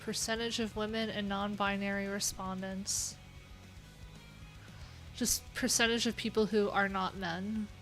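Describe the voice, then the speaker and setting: Brief: young woman, mid-pitched, casual rambling livestream host, "like" and "um" everywhere, soft music bed. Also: flat delivery, measured pace, long pauses. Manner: chatty, rambling, many "like"s and "um"s.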